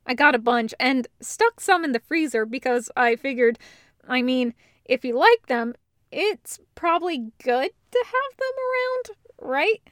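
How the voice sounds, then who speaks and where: A woman's voice: sits high, lively intonation, a little slow, fairly bright tone, no room sound, clean studio sound. Woman voicing an upbeat, rambling guy. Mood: eager, rambling. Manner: rambling, casual, hesitant asides. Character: cheerful, eager, casual man bringing snack cakes.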